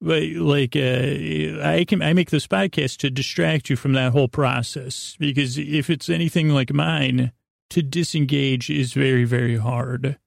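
A man hosting a bedtime podcast in a creaky voice, meandering through a goofy, self-deprecating monologue with halting, trailing sentences. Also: fairly high pitch, fairly even intonation, no echo, studio quality. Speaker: podcast host.

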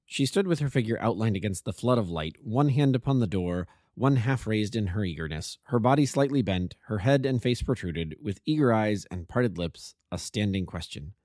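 Expressive male storyteller, lively pitch. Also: moderate pace, hardly any pauses, no echo, studio quality. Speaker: amateur audiobook narrator. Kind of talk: classic novel reading.

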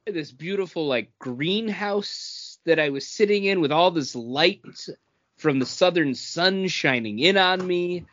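Higher-voiced man in casual podcast chat. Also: measured pace, fairly bright tone, no echo, dull audio. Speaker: podcast co-host.

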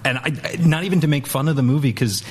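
A man on a movie panel chatting casually, thinking aloud in a halting way with repeated words. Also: on the high side, a little hiss or hum. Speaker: movie talk show panelist.

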